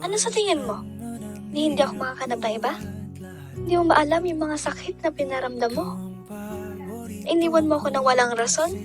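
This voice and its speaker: A woman's voice: high voice, animated, fairly quick, long pauses. A woman playing an emotional young girlfriend in an amateur student radio drama. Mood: bitter, reproachful. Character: Nicole, hurt young girlfriend, indignant. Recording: background music under dialogue.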